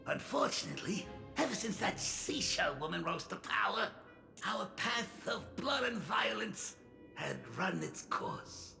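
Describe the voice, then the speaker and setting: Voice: mid-range voice, big pitch swings, measured pace, creaky, reverberant, faint music behind, exaggerated voice. An actor playing a flamboyant, scheming crime syndicate boss in a video game, with a theatrical, drawling delivery. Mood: shrewd, reflective. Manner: theatrical, drawling, punctuated by chuckles and loud flourishes. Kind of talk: animated video game voice acting.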